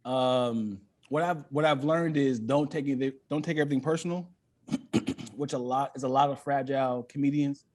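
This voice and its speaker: A man's voice: medium pitch, normal speed, darkish tone. A man talking as a comedian guest on a podcast, casual and profane, often repeating words as he finds his way into a sentence.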